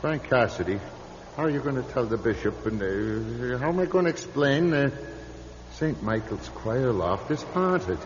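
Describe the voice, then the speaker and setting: Man playing a weary old priest, echoing, swooping pitch. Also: lively intonation, a little slow, close to an everyday voice. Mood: weary muttering. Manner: muttering to himself, exclaiming, evasive. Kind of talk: old-time radio drama acting. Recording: dull, noisy radio recording in echoing hall.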